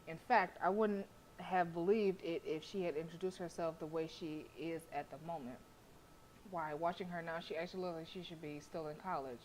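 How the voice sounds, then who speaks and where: A woman's voice: lowish voice, fairly even intonation, moderate pace, darkish tone, a little hiss or hum, poor audio. A woman streamer reading a visual novel aloud expressively, with casual, sassy asides. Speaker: game streamer.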